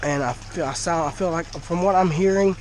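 A man's voice: fairly high pitch, brisk, a little mellow, a little hiss or hum. A congested man, chatting casually while filming his fish tank in a dim cinder-block shed. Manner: folksy, chatty. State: congested, talking through a blocked nose. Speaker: fish-keeping vlogger. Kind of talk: casual vlog to camera. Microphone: action camera worn by the speaker.

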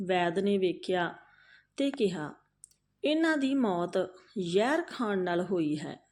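A woman narrating a moral folk tale as a storyteller, phrasing it deliberately with frequent pauses. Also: medium pitch, darkish tone.

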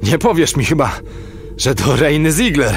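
An actor playing a distrustful, hot-tempered veteran commando in a sci-fi audio drama, speaking emotionally and haltingly. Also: male voice, on the high side, very animated, soft background music. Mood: bitter.

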